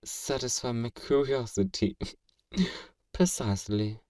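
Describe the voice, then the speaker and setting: A man playing a feared fantasy villain in a flirtatious audio roleplay, measured, amused and condescendingly courteous, in an intimate ASMR acting style. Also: mid-range voice, a little mellow, studio quality, lightly acted. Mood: amused, obsessive. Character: feared male fantasy villain, suave, teasing, flirtatiously obsessive. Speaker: voice actor performing a roleplay. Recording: roomy echo, distant from the mic.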